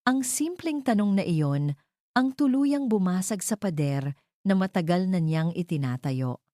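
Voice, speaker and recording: A woman tells a dramatic love story as a scripted narrator. Her voice sits low and has a warm, mellow tone. The recording is dry, with the voice free of room echo. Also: animated, measured pace.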